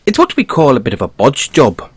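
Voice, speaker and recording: A man acts out a curious Irish bunny-boy mechanic from an audio roleplay. His pitch swings widely as he talks, and the voice has a slightly husky edge. He is polite and gently nosy, picking his words with wry understatement. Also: wildly swooping pitch, brisk, dry recording. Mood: tactful, wry. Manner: gently probing, wry understatement, hesitant phrasing.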